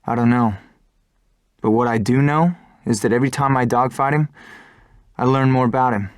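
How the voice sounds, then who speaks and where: A man's voice: mid-range voice, fairly even intonation, normal speed, clear, resonant tone, no echo, studio quality, natural voice. Earnest young fighter pilot, played by a man. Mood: brooding, resolute. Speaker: fighter pilot protagonist and narrator. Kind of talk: video game cutscene narration and dialogue. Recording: music behind the voice.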